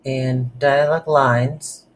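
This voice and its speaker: Slow, halting coding tutor with background noise. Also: mid-range voice, flat delivery. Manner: halting, thinking aloud while typing. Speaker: game development tutorial instructor. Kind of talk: unscripted coding tutorial narration. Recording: noisy room with keyboard typing.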